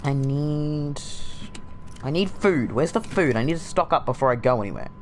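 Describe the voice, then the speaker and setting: A man's voice: a little high, lively intonation, moderate pace, slight background noise. Rambling young Australian man. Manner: rambling, sardonic, frequent fillers. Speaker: gaming streamer. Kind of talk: casual chat while livestreaming a game.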